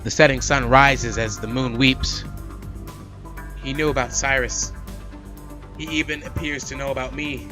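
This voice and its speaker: A man's voice: mid-range voice, steady tone, unhurried, pauses often. Man reading a game aloud over music. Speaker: let's play host. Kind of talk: reading visual novel aloud with casual commentary. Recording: loud background music.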